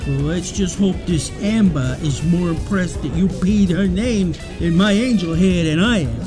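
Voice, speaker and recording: A man's voice: high-pitched, a little slow. A man playing an exasperated, touchy car show exhibitor in a radio comedy, blunt and scolding. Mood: threatening. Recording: music behind the voice.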